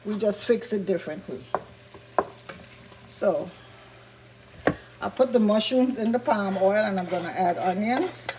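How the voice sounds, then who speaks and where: A woman hosting a vegan cooking show, chatty and explaining each step as she cooks, her voice a little raspy from talking a lot. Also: medium pitch, a little slow, pauses often, background noise. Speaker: vegan cooking channel host. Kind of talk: casual cooking demonstration. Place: home kitchen with food sizzling. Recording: kitchen with loud sizzling food. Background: frying and sizzling sounds.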